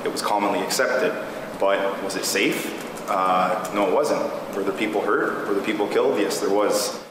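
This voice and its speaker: A man's voice: low-pitched, fairly even intonation, normal speed. A plain-spoken, somewhat hesitant man informally presenting his proposal to a meeting. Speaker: new peacekeeper officer presenting a proposal. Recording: very echoey hall, clear background noise.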